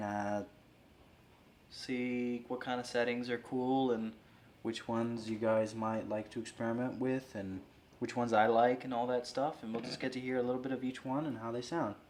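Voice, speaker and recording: A man's voice: medium pitch, fairly even intonation, moderate pace. A man hosting a gear review video, explaining settings in an informal, hesitant, casual way. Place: indoor room with guitar amp. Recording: roomy, distant mic with faint noise.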